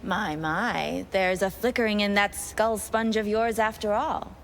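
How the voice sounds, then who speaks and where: Game role: jaded woman schemer, sardonic and dismissive, medium pitch. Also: fairly even intonation, a little slow, vocal fry, a little hiss or hum. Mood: scornful, businesslike. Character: jaded, scornful woman plotting a gang takeover. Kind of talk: video game voice acting.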